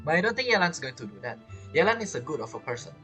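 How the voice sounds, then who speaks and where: Casual gameplay commentator: animated intonation, playful rambling, light game music underneath. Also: medium pitch, racing. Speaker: game streamer. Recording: faint game music behind the voice.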